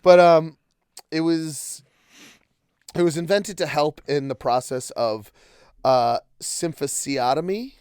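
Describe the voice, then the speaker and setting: A young man with a fairly high, smooth voice, recorded dry and close. He co-hosts a casual podcast and tells stories and facts in a loose, crude, joking way. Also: slow pace, pauses often, a little mellow, no room sound. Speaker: podcast co-host. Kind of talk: casual chat.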